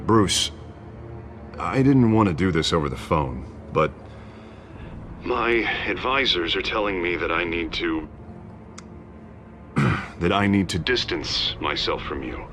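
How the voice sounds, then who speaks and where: An actor playing a middle-aged political candidate who talks hesitantly and apologetically, pleading for support. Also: male voice, sits low, expressive, slow pace, long pauses, a bit bright, soft background music, exaggerated voice. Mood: uneasy.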